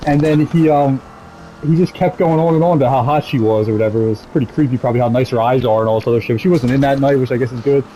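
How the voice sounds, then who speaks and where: Man, quick rambling storyteller, casual and sweary, amused, soft mellow tone, faint game noise behind. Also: mid-range voice, poor audio. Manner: rambling, profane; amused, laughs while telling stories. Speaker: gameplay video creator telling stories. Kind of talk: casual storytelling over gameplay.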